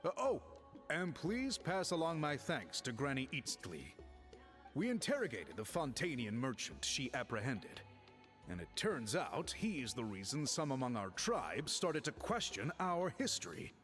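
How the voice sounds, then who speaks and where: Dignified game chief, formal, deliberate, expressive pitch swings, mid-range, music behind. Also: male voice. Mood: earnest.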